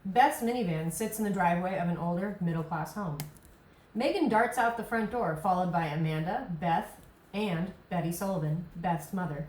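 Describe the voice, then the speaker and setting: A woman's voice: on the low side, moderate pace, darkish tone, a little hiss or hum. A woman narrator reading screenplay scene headings and action lines at a table read. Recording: echoey room recording.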